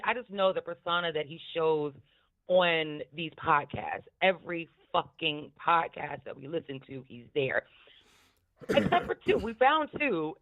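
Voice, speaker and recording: A woman with a smoky voice chatting casually and candidly about podcasts, swearing freely and prone to laughing. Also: on the low side. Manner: candid, profane, laughs often. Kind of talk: casual chat, commentary on podcasts. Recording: thin, tinny phone-line audio.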